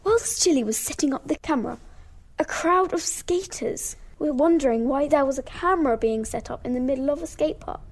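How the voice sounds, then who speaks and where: A woman's voice: high-pitched, brisk. A young girl narrating a scripted amateur radio play.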